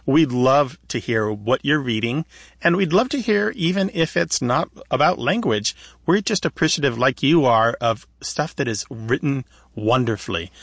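Male call-in host, gravelly mid-range voice, explanatory and conversational, very dry studio. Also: mid-range voice, expressive, moderate pace, fairly bright tone, no room sound. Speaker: radio show host.

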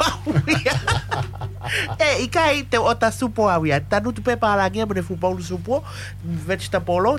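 A woman in casual chat, talking in a lively way and often checking in with tag questions. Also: mid-range voice, a little hiss or hum. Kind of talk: casual chat mixing English and a Pacific language.

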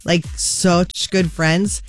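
A woman's voice: on the low side, flat delivery, very slow, faint music behind. A woman co-hosting a radio morning show, chatting and teasing through entertainment news in a studio. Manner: chatty, teasing, jokes around. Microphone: studio microphone.

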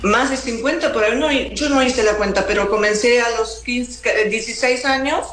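A woman's voice: a little high, moderate pace, a bit bright. Woman in her seventies, roomy sound. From Argentina. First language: Italian. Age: 70s. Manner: chatty, laughs easily. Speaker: singer, guest. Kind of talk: casual interview.

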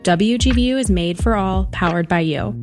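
A woman's voice: medium pitch, normal speed. A woman reading a polished, upbeat station fundraising promo. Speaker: station announcer. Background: music bed.

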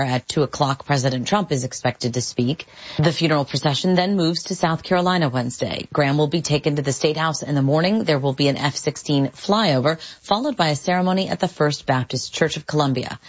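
A female reporter files a formal news report at a quick clip. Her voice sits low for a woman. The radio tone is dull and lo-fi.

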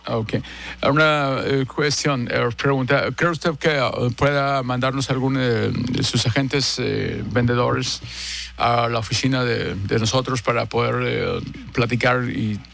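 A man playing a polite, bumbling American businessman who speaks halting, broken Spanish full of fillers and slips into English. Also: mid-range voice, steady tone, creaky, fairly bright tone. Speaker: prank caller.

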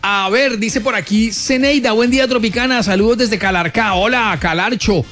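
A man's voice: high voice, moderate pace, fairly bright tone, soft background music. A Colombian man hosting a morning radio show, chatting with listeners in a playful, colloquial, exclamatory way.

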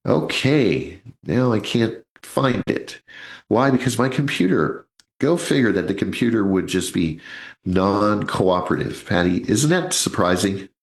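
A man in his sixties with a low voice hosts a live financial radio show. He talks in a chatty, jokey way and keeps turning to his listeners as folks. The studio sound is roomy. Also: lively intonation, measured pace, creaky. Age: 60s. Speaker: radio host, financial advisor.

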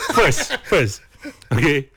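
A deep-voiced man in his forties with a Malaysian English accent, a metal vocalist who talks haltingly and keeps restarting his sentences in a casual interview. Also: very animated, fairly bright tone, husky. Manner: halting, searching for words, frequent restarts.

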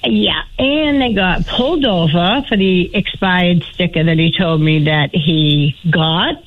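A woman with a Boston accent calling in to a radio show and telling a story in a deadpan way. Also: lowish voice, slow pace, phone-quality sound. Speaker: caller.

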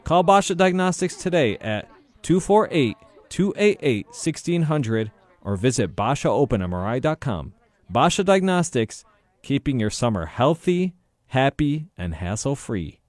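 A man announcer reading a scripted radio commercial with a bright, energetic sales manner. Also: mid-range voice, a little slow, no room sound.

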